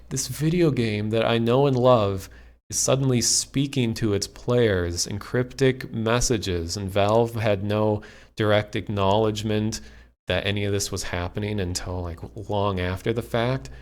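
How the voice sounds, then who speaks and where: A man hosting a livestream, explaining things in an informal, rambling and enthusiastic hobbyist way. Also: lowish voice. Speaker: livestream host. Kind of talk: casual livestream talk explaining a radio hobby.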